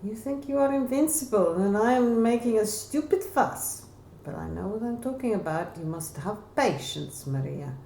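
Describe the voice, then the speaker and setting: A woman's voice: medium pitch, expressive, moderate pace, gravelly, hardly any pauses, mellow, voice pushed up. A woman voicing a mature, caring Spanish singing teacher in a novel, advising earnestly and emphatically. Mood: insistent. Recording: roomy echo, faint background noise.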